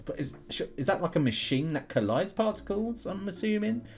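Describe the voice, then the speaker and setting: A man's voice: sits high, expressive, a little fast. A man giving casual game commentary as a host, thinking aloud in questions and chatting to his viewers. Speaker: YouTube gamer host. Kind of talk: casual commentary while playing an online history quiz game.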